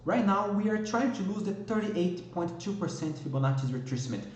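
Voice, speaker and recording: A man's voice: high-pitched, lively intonation, a little fast, very echoey, poor audio. A man from Brazil with a Brazilian Portuguese accent, walking viewers through a stock market technical analysis and often checking in with "okay?". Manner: explanatory, frequent "okay?" and "all right?" checks.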